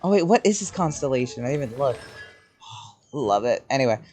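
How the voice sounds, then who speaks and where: A man's voice: mid-range voice, sing-song, brisk, darkish tone. A man giving casual gameplay commentary as a streamer, rambling and reactive, swearing casually. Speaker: game streamer. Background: game music.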